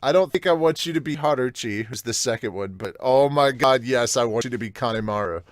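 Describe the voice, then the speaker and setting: A man talks through a manga as a podcast host, loose and excitable, cursing freely. His voice is mid-pitched and sits dry and close, with no room around it. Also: brisk, no echo. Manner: excitable, swearing. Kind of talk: casual manga read-along and review chat.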